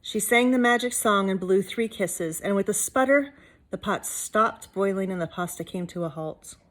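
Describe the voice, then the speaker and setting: A woman's voice: medium pitch, normal speed. A woman reading a storybook aloud expressively to young listeners, with casual asides like a teacher.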